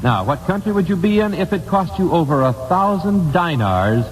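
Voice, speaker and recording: Animated 1950s American quiz host, reverberant hall. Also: male voice, on the high side, normal speed. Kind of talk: live 1950s radio quiz hosting. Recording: old radio broadcast, hall reverb, hiss.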